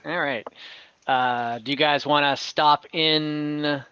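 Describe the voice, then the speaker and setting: A man acting as game master, narrating casually and haltingly as he thinks aloud over an online call. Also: on the high side, steady tone, sharp tone, clean tone, no echo. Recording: dull, close online call audio.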